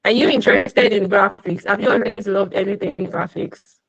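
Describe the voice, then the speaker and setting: A woman's voice: mid-range voice, fairly even intonation, fairly quick, vocal fry, a bit bright, no room sound. Chatty woman hosting a video call class. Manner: chatty, welcoming, addresses attendees by name. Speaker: online class host. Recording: muffled dry video call audio.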